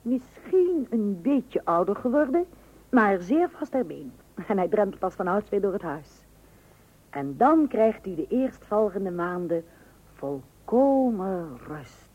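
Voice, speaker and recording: A woman's voice: mid-range voice, sing-song, slow pace, husky, full character voice. An actress playing a chatty, coaxing housewife and mother in an old radio comedy. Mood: wry narrating. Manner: eager, wheedling, hesitant interjections. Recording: dull, muffled old radio recording.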